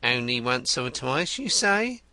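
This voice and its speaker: A man's voice: mid-range voice, sing-song, a little slow, bright, forceful tone, dry recording, voice pushed up, heavily acted. A man voicing a shrewd, wry male detective in an audiobook, with dry, teasing questions.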